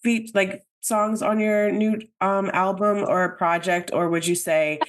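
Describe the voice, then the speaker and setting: A woman's voice: medium pitch, fairly even intonation, measured pace. A young adult woman hosting an interview, chatty and enthusiastic, with lots of likes and giggles. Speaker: interview host. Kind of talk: casual interview chat.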